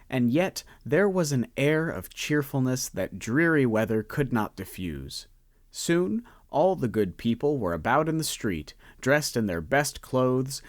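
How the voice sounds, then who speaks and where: A man narrates a radio play with a lively, swooping delivery, lingering on each item of a list. The recording is dry and close, with no room sound. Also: medium pitch, dry recording. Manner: savoring, slowed lists. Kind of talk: scripted story narration.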